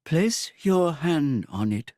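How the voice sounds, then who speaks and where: A grave old mentor in a video game, keeper of old secrets. His delivery is slow and measured, in a slightly high male voice with a dry, close sound. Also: big pitch swings, mellow, no echo. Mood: grave, instructive. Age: older. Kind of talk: video game cutscene acting.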